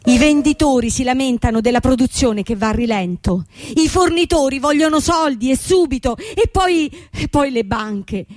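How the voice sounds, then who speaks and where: A woman's voice: high-pitched, animated, normal speed, few pauses, darkish tone, dry recording, clean studio sound. A woman playing a harried, impatient young company boss in a theatrical radio drama, insistent and exasperated, piling up words in lists.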